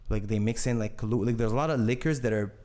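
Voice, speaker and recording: A young man from Saudi Arabia hosts a podcast in a casual, easygoing way. His voice sits on the low side. He tells loose stories and sprinkles in "bro" and "man" as he talks. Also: fast talker. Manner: relaxed storytelling, peppered with "bro" and "man". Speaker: podcast host. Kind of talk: casual chat.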